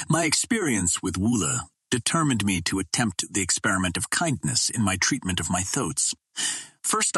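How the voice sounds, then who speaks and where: A man narrates classic adventure fiction in a low voice with a husky, slightly rough grain. His delivery is measured and formal, like scripted literary storytelling, and the recording is close and dry. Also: fairly bright tone, dry recording. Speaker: audiobook narrator.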